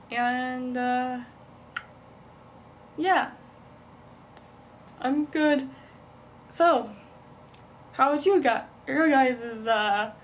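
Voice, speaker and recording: A young woman chatting casually as a vlogger, rambling and halting, constantly dropping "like" into her sentences. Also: high voice. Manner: halting, heavy use of "like", rambling. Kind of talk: casual rambling chat to camera. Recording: noticeable background noise, amateur vlog sound.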